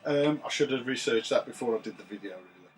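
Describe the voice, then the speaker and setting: A man, his voice a bit rough from recovering after losing it, chatting to camera in a relaxed, rambling way with many ums, recorded on a camera's built-in mic in a small room. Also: mid-range voice, quick pace, low-quality recording. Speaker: retro games collector vlogger. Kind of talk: casual chat to camera. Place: small room full of retro computers.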